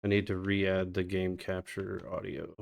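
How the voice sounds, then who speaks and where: A man's voice: low voice, completely flat delivery, a little slow, vocal fry. A man giving casual live commentary as he speedruns a video game on a livestream.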